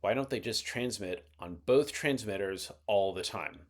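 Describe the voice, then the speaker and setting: Low-voiced man narrating an explainer. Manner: measured, methodical, explanatory. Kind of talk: scripted instructional narration.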